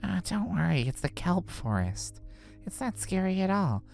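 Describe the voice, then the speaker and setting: Creaky, eager tween girl played by a woman. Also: lowish voice, sing-song, normal speed, a little mellow, faint music behind. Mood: pleading, coaxing. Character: Misha, impatient, eager-to-fit-in tween girl.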